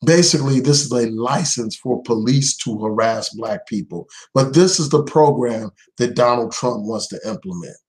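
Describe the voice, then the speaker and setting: Hoarse man hosting a live stream. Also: mid-range voice, lively intonation, normal speed, hardly any pauses. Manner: earnest, emphatic, speaks out without fear. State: hoarse from a cold. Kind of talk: political commentary live stream.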